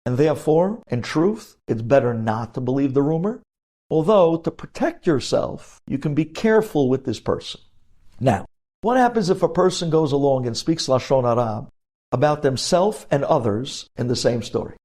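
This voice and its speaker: A man's voice: mid-range voice, animated, normal speed, a little mellow. A man giving a religious lesson as a rabbi, explaining emphatically and posing questions that he then answers. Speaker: rabbi teaching religious law.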